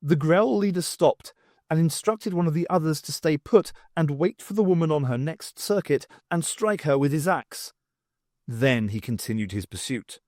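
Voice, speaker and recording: A man reading a story aloud as an audiobook narrator, in an expressive scripted style. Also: fairly high pitch, no echo, clean studio sound.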